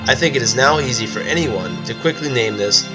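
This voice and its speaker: A man's voice: medium pitch, expressive, a little fast, over loud music, poor audio. A man reading a scripted, structured explanatory narration as a video essayist.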